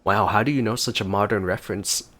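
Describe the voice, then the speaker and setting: A man giving casual gameplay commentary, musing aloud in halting phrases as he plays. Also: fairly low pitch, fairly bright tone. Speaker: let's play streamer. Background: game music.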